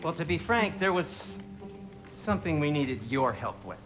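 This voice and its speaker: An actor playing a nervous, eager dwarf inventor in a video game, with a rambling, polite manner. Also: male voice, on the high side, animated, moderate pace, a bit bright, soft background music. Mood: explaining.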